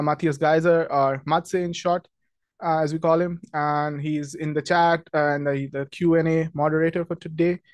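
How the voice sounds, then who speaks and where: A man's voice: a little high, dry recording. A young man from Pakistan hosting a webinar in a friendly, chatty way, with lots of ums and restarts. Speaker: webinar host, product marketing manager. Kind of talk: casual webinar presentation.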